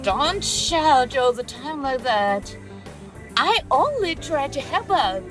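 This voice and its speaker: Woman acting an eager, high-voiced plucky young woman. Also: expressive, slow pace, music in the background. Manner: bright-eyed, inquisitive, helpful. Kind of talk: audio drama acting.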